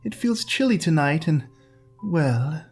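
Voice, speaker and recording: A man's voice: on the high side, lively intonation, slow pace, slightly rough, faint music behind, voice pushed up, heavily acted. A man voicing a pale, old-fashioned vampire woman with a silken, purring way of speaking. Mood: seductive.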